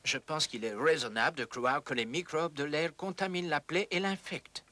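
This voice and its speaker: A man playing a thoughtful, courteous English gentleman surgeon in a dubbed educational cartoon, reasoning in a formal way. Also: on the high side, normal speed, no echo. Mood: earnest, thoughtful.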